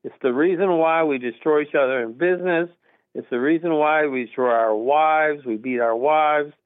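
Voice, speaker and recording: A middle-aged man from Texas calls in on a thin, tinny phone line. His voice sits a little high, and the sound is close and dry. He talks earnestly and emphatically, rambling from point to point. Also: normal speed, dry recording. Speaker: caller. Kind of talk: call-in radio conversation. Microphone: telephone.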